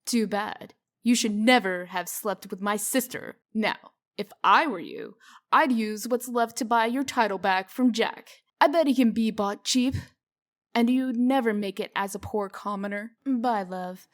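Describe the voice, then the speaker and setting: A woman voicing a brisk, shrewd female official in a comic dub, firm, dry and sardonic in manner. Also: medium pitch, lively intonation, natural voice. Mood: stern, businesslike.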